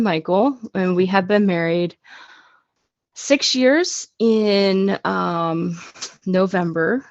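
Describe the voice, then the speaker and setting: A woman's voice: mid-range voice, no room sound. A woman teaching a casual online class, chatty and self-deprecating, over a muffled video call. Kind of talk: casual live online lesson.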